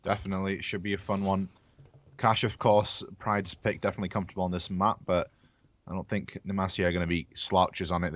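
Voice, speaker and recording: A man's voice: deep voice, no room sound. A man giving casual commentary as an esports co-caster.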